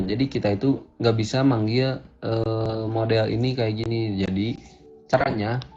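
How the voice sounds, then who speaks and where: Guy explaining code step by step, lowish mellow voice, easygoing and chatty, dull lo-fi sound w faint music. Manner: casual, thinks aloud while coding. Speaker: coding instructor. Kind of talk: screen-recorded programming tutorial.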